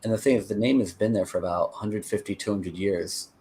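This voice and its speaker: A man's voice: lowish voice, brisk, dark tone, slight background noise. An American man in conversation as a guest, telling his own story in a rambling, explanatory way. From United States (Connecticut). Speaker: interview guest, peace activist.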